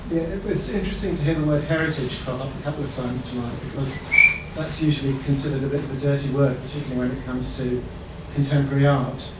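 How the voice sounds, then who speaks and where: A man in the audience making a reflective, unhurried comment at a panel discussion. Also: medium pitch, fairly even intonation. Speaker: audience member. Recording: echoey room from far off, background noise.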